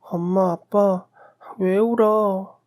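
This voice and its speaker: Guy doing a little kid in a radio story, high voice, confused, pleading questions. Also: steady tone, drawn-out words, darkish tone. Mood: bewildered, pleading. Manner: plaintive questioning. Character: young child in a dream, bewildered and pleading.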